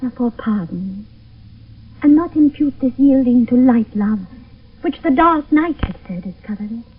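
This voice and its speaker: A British actress playing an ardent, anxious young maiden in love, speaking verse in a theatrical classical radio drama style. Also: female voice, a little high, animated, normal speed, slightly rough. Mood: ardent confession. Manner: theatrical, fervent verse delivery. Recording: old lo-fi phone-like radio broadcast, distant.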